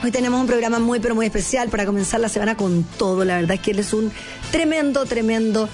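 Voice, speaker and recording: A woman's voice: on the high side, fast, a little mellow, soft background music. A Chilean woman hosting a TV talk show, with a fairly neutral Chilean accent, gushing and effusive, often repeating her words. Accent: neutral Chilean Spanish. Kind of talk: enthusiastic TV interview.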